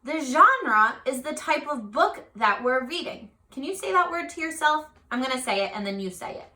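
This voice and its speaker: A woman's voice: high-pitched, sing-song, moderate pace, sharp tone. A woman teaching young children in a read-aloud lesson, asking simple questions and encouraging them directly. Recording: echoey room.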